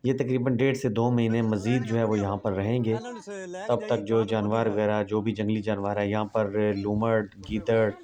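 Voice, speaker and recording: Calm man narrating a walk. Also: lowish voice, normal speed. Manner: calm, explanatory, reminiscing. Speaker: vlog narrator. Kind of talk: reflective voice-over narration.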